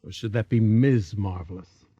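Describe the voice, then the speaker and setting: An American actor playing a breezy, self-absorbed husband in a radio drama, offhand and dismissive. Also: male voice, lowish voice, normal speed, warm tone, husky, no echo, dull audio. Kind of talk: 1980s radio drama acting.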